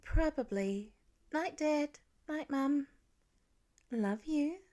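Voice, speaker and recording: A woman's voice: high voice, expressive, extremely slow, warm tone, voice pushed up, full character voice. A woman voicing a hesitant, earnest young daughter in a storybook reading. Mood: sheepish, cheeky. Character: hesitant, sheepish young daughter with some attitude.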